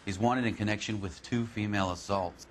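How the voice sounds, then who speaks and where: A matter-of-fact police officer in a 1940s crime game, played by a man. His voice is fairly low as he passes on messages. There is slight noise in the background. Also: fairly even intonation, a little fast, close to an everyday voice. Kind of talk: video game cutscene acting.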